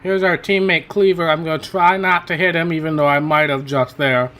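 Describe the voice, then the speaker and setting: A man's voice: fairly high pitch, fairly even intonation, brisk, a bit bright. A man hosting a racing game playthrough in casual, rambling commentary, his voice worn from hours of talking. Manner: rambling, self-correcting, chatty. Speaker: gaming channel host.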